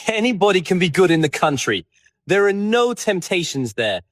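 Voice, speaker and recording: A man voicing a witty, cynical upper-class gentleman with a languid, flippant manner for an audiobook. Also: sits high, big pitch swings, normal speed, no room sound, clean studio sound, pitched above the performer's own voice. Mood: amused, cynical. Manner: languid, flippant, epigram-making. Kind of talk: audiobook character voice.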